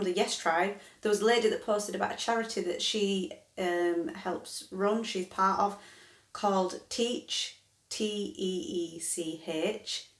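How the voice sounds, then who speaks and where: A woman in her thirties chatting casually to camera, rambling and correcting herself as she goes. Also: medium pitch. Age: 30s. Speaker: vlogger. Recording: echoey room.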